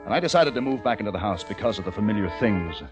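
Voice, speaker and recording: An actor playing a haunted, educated young American survivor, narrating intensely in the theatrical style of old-time radio drama. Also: male voice, lowish voice, animated, rapid-fire, natural voice. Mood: rising panic. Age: young adult. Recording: vintage radio recording with music behind.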